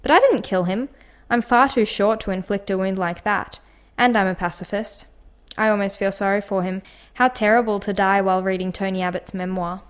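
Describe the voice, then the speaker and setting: A woman's voice: medium pitch, fairly quick, close to an everyday voice. A woman playing a blunt, catty vegan activist giving testimony in a radio mystery play.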